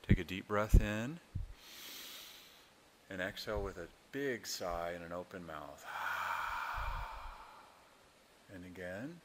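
Deep-voiced, slow-paced man guiding meditation. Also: very noisy. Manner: calm, soothing, unhurried with long pauses. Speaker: yoga nidra meditation instructor. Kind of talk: guided meditation.

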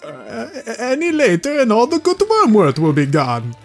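A woman's voice: on the high side, huge pitch swings, moderate pace, faint music behind. A woman voicing a fussy, evasive vampire count in a theatrical cartoon dub. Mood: impatient, evasive. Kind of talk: cartoon fan dub acting.

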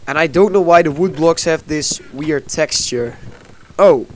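A man's voice: fairly high pitch, a little slow. A man casually commenting on a block-building game as channel host, chatty and narrating what he does for his viewers. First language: Dutch. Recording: faint background noise, mouse clicks.

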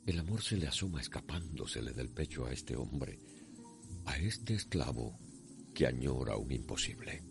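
A man narrating a radio soap opera in a solemn, deliberate, poetic storytelling style. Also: extremely low pitch, big pitch swings, creaky, music in the background.

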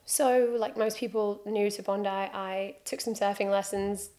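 A woman's voice: mid-range voice, level tone, a little slow, dark tone. A British woman in her thirties, a podcast guest chatting on a studio sofa and telling her story with self-mocking humor, close to a desk mic. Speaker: podcast guest, freediving instructor. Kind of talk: relaxed interview chat, storytelling. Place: studio sofa with plain wall. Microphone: headphones and desk microphone.

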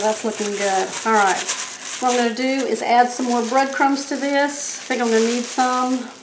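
A middle-aged woman, chatting with viewers as she demonstrates a recipe in her home kitchen. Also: a little high. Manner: chatty, folksy, checks in with viewers. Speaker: home cooking host. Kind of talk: cooking demonstration. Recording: echoey kitchen with faint noise.

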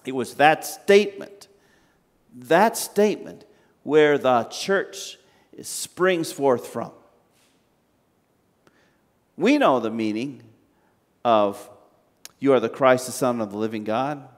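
An older man, a pastor, preaching a sermon from a pulpit in a large church sanctuary, with slow, measured delivery and long pauses. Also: medium pitch. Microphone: standing at a pulpit, far camera. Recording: reverberant church hall.

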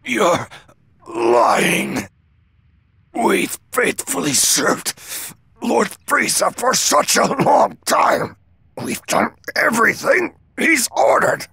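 An actor playing a badly wounded alien warrior in an anime game, straining raspily to speak in broken, gasping phrases. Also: male voice, very high voice, lively intonation, very slow, pushed and bright, no room sound, exaggerated voice. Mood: anguished disbelief. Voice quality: raspy, strained. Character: badly wounded, loyal male alien warrior, near death. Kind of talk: dramatic dubbed anime game acting. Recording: music under the voice. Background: music.

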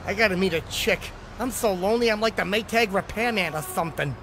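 A man voicing a crude, lonely older male loudmouth in a cartoon, with a nasal voice over music. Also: high-pitched, quick pace, noisy background. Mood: boastful. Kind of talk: cartoon voice acting. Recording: cartoon audio with music under.

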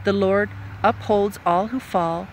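Female scripture reader, mid-pitched voice, reading aloud with faint ambient noise behind. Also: takes it slowly, low-quality recording.

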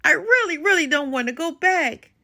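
Storybook papa bear, shy and panicky, high and bright, acted by a woman. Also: animated, normal speed, exaggerated voice. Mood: pleading. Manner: anxious, stammering. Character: flustered, self-conscious male papa bear with stage fright. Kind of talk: children's storybook read-aloud.

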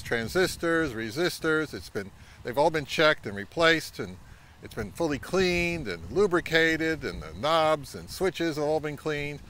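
A middle-aged man giving a measured, instructional talk to camera as a vlog host, recorded outdoors. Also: fairly high pitch, pushed and bright, a little hiss or hum. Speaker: vintage audio channel host. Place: outdoors by a pond and on a wooded trail.

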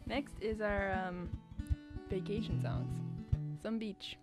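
A woman's voice: mid-range voice, fairly even intonation, slow pace. A young adult woman in casual, easygoing stage banter on a vocal microphone. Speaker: singer and co-host. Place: small indoor stage with curtains. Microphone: stage vocal microphone on a stand. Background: acoustic guitar.

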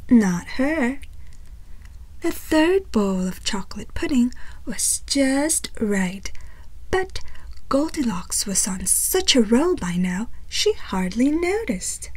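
Female storyteller for picture books: unhurried, very animated, wry, deliberately emphatic. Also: fairly high pitch, slightly rough, poor audio. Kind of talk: picture-book read-aloud narration.

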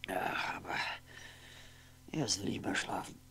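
An actor playing a lonely, wistful, sardonic drinker in a 1960s radio play monologue, halting and musing, repeating words and trailing off. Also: male voice, sits low, creaky, a bit bright, a little hiss or hum, heavily acted. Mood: drowsy, wistful. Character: lonely drinking man, wistful, sardonic, brooding over memories.

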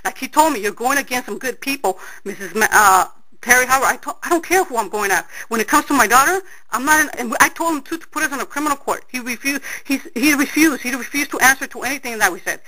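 Middle-aged lady on the phone, super loud and pushy, talks fast, bright sharp voice. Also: high voice. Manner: loud, insistent, repeats herself. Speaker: caller. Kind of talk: heated phone call. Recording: phone call recording.

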